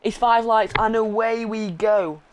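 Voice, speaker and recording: A hoarse man with a sore throat giving live sim racing commentary, blunt and joking with his co-host, clearing his throat now and then. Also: sits high, fairly even intonation, unhurried, background noise.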